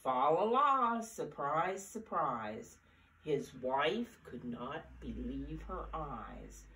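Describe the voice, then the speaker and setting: An older woman in her seventies reading a picture book aloud to preschoolers in an animated, deliberate storyteller's manner, with drawn-out emphasis. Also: low voice. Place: living room, indoors. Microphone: camera a few feet away. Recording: roomy, distant camera microphone.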